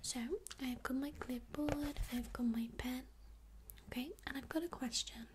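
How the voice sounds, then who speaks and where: A young woman whispers close to the mic in a breathy, high voice, taking her time over every phrase. She teaches ASMR calmly and reassuringly, checking in with the listener again and again. A faint hiss sits under the recording. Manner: calm, slow, reassuring, frequent "okay?" check-ins. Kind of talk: ASMR tutorial, whispered and soft-spoken.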